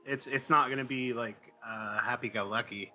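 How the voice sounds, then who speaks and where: A man speaking as a guest writer in a casual interview, answering in a hesitant, halting way with trailing asides. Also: medium pitch, steady tone, pushed and bright, faint music behind. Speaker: comic book writer, guest.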